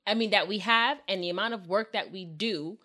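A woman who is a dental hygienist and educator, co-hosting a casual podcast chat, emphatic and quick to laugh. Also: mid-range voice, pushed and bright.

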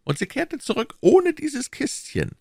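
A man voicing an imperious, keen cardinal in an audiobook, brisk and probing as he questions and commands. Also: very high-pitched, huge pitch swings, vocal fry, warm tone, slightly rough, dry recording, clean studio sound, pitched above the performer's own voice, full character voice. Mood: eager, probing. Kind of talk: audiobook character dialogue.

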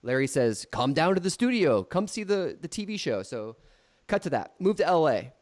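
A man's voice: fairly high pitch, very animated, normal speed, a little mellow. A man in his thirties hosting a podcast, teasing his guest and telling stories in a joking way. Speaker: podcast host. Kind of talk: casual podcast chat.

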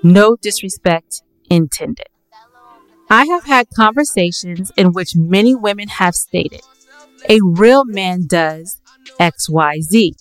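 A woman's voice: lowish voice, animated, slow, clear, resonant tone, dry recording, soft background music, poor audio. A woman co-hosting a podcast in a casual, playful, teasing way. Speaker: podcast co-host. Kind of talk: casual banter between co-hosts.

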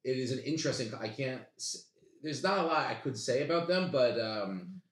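A man's voice: medium pitch, lively intonation, normal speed, echoey room, a crowd in the background. A man chatting casually as a comedy podcast guest, qualifying himself as he goes. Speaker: podcast guest, sketch writer.

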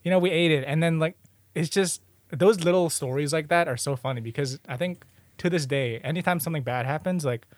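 A young man talks in quick bursts, in a slightly high voice. He is animated and loose, full of slang and swearing, like a host trading stories with friends. The sound is dry, with very little room. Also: no echo. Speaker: podcast host. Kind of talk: casual chat, slangy storytelling.

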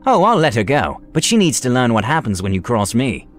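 Casting: gloating male wizard villain, played by a male narrator, sadistic and menacing, swooping pitch, fantasy tabletop tale over music. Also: big pitch swings, lightly acted. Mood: taunting. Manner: taunting, drawn-out menacing delivery. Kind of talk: dramatized tabletop fantasy storytelling.

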